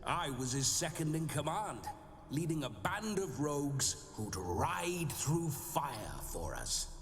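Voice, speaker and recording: A man's voice: on the high side, very animated, slow, fairly bright tone, exaggerated voice. An actor playing the jovial, boastful ghost of a hard-drinking nobleman brawler in a video game. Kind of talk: video game cutscene acting. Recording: game audio with reverb and music.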